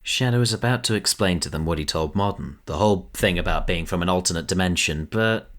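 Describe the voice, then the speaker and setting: A man's voice: low-pitched, brisk, fairly bright tone. A man reading scripted story narration for an audio drama as its narrator.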